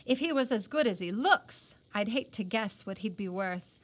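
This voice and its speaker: A woman reading aloud as an arrogant but good-humoured young army lieutenant, brisk and commanding, in a storybook. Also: mid-range voice, lively intonation, a bit bright. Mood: brisk, hearty. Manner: brisk, commanding, barked questions and orders.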